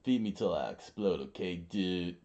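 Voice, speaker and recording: A man voicing a brash, hungry young pirate captain, loud and cheeky, on a dull lo-fi recording. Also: low voice, lively intonation, moderate pace.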